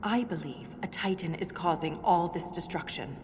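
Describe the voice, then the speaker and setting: An actress playing an earnest, scholarly dwarf woman in a video game, measured and solemn as she explains. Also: lowish voice, a little mellow, a little hiss or hum, close to an everyday voice. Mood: grave, intrigued. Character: earnest scholarly female dwarf relic-hunter, reverent and intense. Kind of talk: video game dialogue acting. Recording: long cavernous reverb, music underneath. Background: game music.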